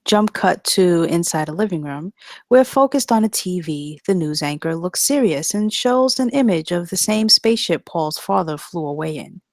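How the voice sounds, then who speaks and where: A woman's voice: fairly low pitch, expressive, moderate pace, a little mellow, dry recording, studio quality. A woman narrator reading stage directions in a scripted table read.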